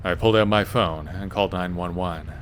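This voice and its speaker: A man with a very low voice narrates a first-person horror story from a script. Faint ambient noise sits behind his voice. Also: fairly quick.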